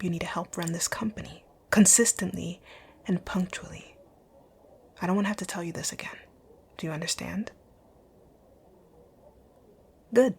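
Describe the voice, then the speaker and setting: A woman acts out a wealthy, dominant female boss in an intimate ASMR roleplay. Her voice is on the low side, husky and breathy, with a creaky fry. She is curt and commanding, often dropping close to a whisper. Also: exaggerated voice. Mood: cold, bossy. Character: rich, rude, dominant female boss, stern but secretly caring. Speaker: audio roleplay voice actor.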